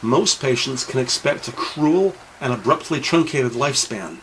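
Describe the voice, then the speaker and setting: A man's voice: medium pitch, lively intonation, normal speed, a bit bright, slight background noise, poor audio. A man narrating a scripted audio drama in a formal, descriptive reading style.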